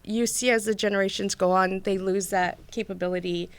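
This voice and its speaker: A woman guest in a conversational interview, talking thoughtfully with many halting restarts. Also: medium pitch, steady tone, smooth, dry recording.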